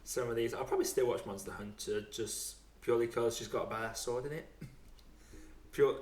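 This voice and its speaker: A man's voice: mid-range voice, normal speed, a little mellow. A man hosting a podcast with casual chat about films. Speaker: podcast host. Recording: roomy, echoey sound.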